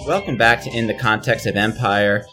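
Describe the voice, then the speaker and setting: A man hosting an interview podcast, introducing his guest in a measured, formal way. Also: on the low side, over loud music. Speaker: podcast host.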